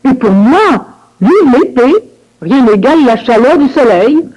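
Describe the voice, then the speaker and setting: A woman reading scripted dialogue for a language course with clear, careful enunciation. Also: a little high, lively intonation, a little slow, darkish tone, poor audio.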